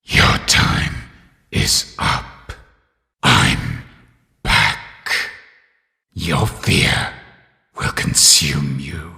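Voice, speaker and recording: A man voicing a menacing undead killer from a horror game, theatrical and deliberate, with a gravelly voice that turns breathy at times. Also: rumbling low, very animated, pushed and bright, heavily acted. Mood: gloating menace. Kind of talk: horror game villain voice lines.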